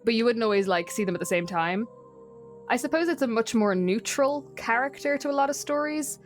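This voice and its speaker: A woman's voice: on the high side, lively intonation, a little fast, soft background music. An Irish woman chatting casually as a guest, telling stories in a wry, self-deprecating way. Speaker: podcast guest. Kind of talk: casual storytelling chat.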